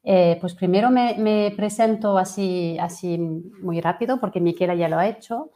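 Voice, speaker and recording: French woman coach, low mellow voice. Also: normal speed. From France. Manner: hesitant, many fillers and restarts. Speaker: fertility coach. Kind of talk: webinar presentation.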